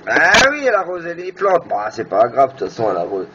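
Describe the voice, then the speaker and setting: A man's voice: medium pitch, huge pitch swings, a little fast. Man chatting casually over noisy muffled recording. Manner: informal, hesitant, searching for words. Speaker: amateur radio operator and video host. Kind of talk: casual radio chat.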